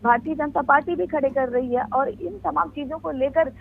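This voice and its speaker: A woman giving a live, unscripted news report in a halting, explanatory way. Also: high voice, gravelly, slight background noise. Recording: tinny phone-line sound.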